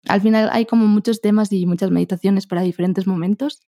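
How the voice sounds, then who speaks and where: A young woman from Spain with a Spanish accent, a meditation podcaster chatting casually as a guest, soft-spoken and laughing often. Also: mid-range voice, steady tone, fast, no echo, studio quality. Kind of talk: casual interview chat.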